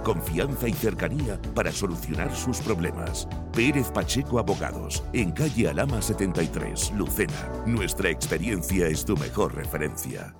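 Very deep, formal male ad voice. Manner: formal, measured. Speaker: ad announcer. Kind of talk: formal radio ad read.

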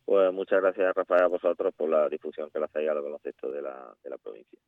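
A man coaching basketball comes through a thin, dry phone line. His voice is extremely deep and gravelly, and he talks in a halting way, repeating his words. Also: fairly even intonation, no echo.

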